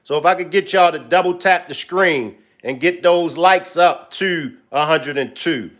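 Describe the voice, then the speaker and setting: A man's voice: a little high, measured pace, fairly bright tone. A man hosting a live stream, talking loosely and directly to his viewers. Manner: loose, chatty, talks straight to viewers. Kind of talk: casual live-stream commentary.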